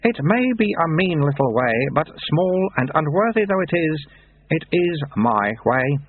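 A man voicing an ancient, calm monk teacher who speaks with dry, patient irony. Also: on the high side, a little slow, breathy edge, pitched above the performer's own voice, heavily acted. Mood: calm wry. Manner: dry, patient, gently ironic. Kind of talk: audiobook character reading. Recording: dry, tinny phone-like sound.

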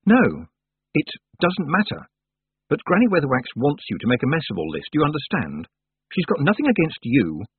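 Sensible, reproachful young witch girl read by a man, raised pitch, dry tinny audio. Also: normal speed, husky, no room sound, exaggerated voice. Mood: firm. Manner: calm, firm, reproachful. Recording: dry, tinny phone-like sound.

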